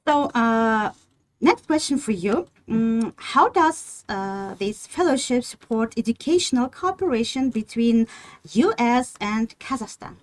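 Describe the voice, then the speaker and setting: A woman's voice: on the high side, expressive, a little slow. A woman hosting a university podcast, asking questions carefully and hesitantly, with many fillers. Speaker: podcast host. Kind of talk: interview hosting.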